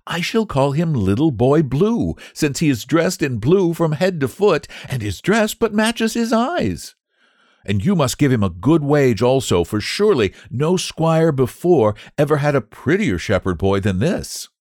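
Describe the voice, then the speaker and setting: Man doing a sweet high young lady. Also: very animated, a little slow, a little mellow, no echo, studio quality. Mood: coaxing. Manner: sweet, coaxing. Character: gentle young lady, squire's daughter.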